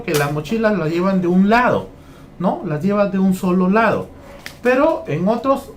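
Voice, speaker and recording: A man's voice: sits high, slight background noise. Man teaching, keeps checking "ya?". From Peru. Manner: repeats phrases, keeps asking "¿ya?". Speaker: teacher trainer. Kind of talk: explaining a lesson plan.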